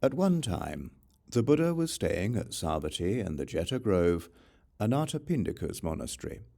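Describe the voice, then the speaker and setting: A man reading scripture as an audiobook narrator, in a measured, formal, scripted recitation. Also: lowish voice, very animated, vocal fry, mellow.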